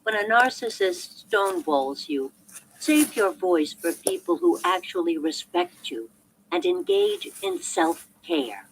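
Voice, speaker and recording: A woman reading a self-help audiobook in a measured, clearly articulated and emphatic way. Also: medium pitch, wildly swooping pitch, slight background noise, rough recording. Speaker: audiobook narrator.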